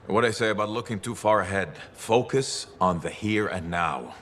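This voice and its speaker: A man plays a pragmatic mentor in a game cutscene. His low voice is measured and reassuring, with faint ambient noise around it. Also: fairly bright tone, close to an everyday voice. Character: steady, pragmatic male mentor to a hero. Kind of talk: video game cutscene acting.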